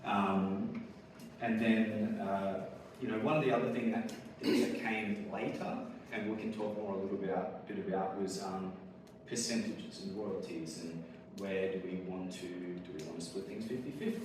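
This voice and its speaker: A man with a lowish voice gives a relaxed talk to a room, his words padded with ums and light laughs. He sits well back from the microphone, so his voice rings around a big echoey hall with a steady background hiss. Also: moderate pace. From Australia. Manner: casual, many fillers and laughs. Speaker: board game designer. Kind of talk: conference talk. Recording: echoey hall, speaker far from mic, audible noise.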